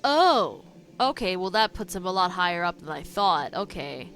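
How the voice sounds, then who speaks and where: A woman's voice: lowish voice, very animated, normal speed. A woman streamer giving casual reaction commentary on a game, thinking aloud informally. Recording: loud game music behind.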